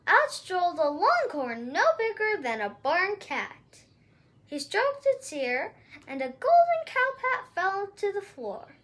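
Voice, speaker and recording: A woman reading a children's story aloud in an expressive storytelling style. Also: extremely high pitch, takes it slowly. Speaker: storybook reader.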